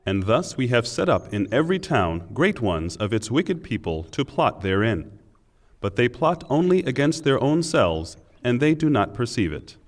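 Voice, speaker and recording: A man narrating a scripted scripture translation in a measured, formal and solemn manner. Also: mid-range voice, big pitch swings. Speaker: narrator reading Quran translation.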